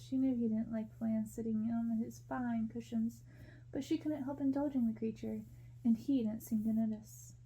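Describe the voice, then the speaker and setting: A woman reading a story aloud as ASMR, with gentle, hushed narration. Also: on the high side, slight background noise, rough recording. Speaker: ASMR reader.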